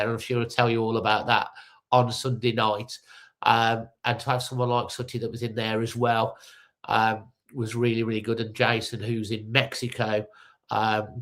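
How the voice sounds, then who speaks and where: A man's voice: on the low side, fairly bright tone. A middle-aged man with a stuffy nose from a cold, hosting a casual fan-channel live update with many ums and restarts.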